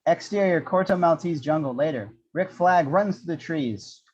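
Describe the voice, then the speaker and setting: Higher-voiced man narrating a screenplay. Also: expressive, normal speed, darkish tone, highs cut off. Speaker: action-description narrator. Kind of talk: scripted screenplay narration at amateur table read.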